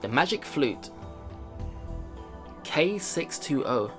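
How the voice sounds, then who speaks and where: A man's voice: a little high, sing-song, takes it slowly. A man reading a visual novel aloud and commenting as he plays, thinking aloud in a chatty way. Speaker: let's player. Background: visual novel game music.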